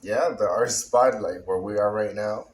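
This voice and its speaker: Dominican man, Spanish accent, English as a second language, halting and searching for words, echoey room, faint background noise. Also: fairly low pitch. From Dominican Republic. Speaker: vlogger. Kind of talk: casual chat.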